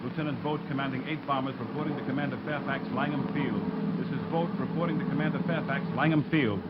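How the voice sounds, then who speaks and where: A man's voice: fairly high pitch, close to an everyday voice. A man playing an army bomber pilot lieutenant in a 1930s radio drama, reporting in clipped military style. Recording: dull lo-fi old broadcast, loud noise.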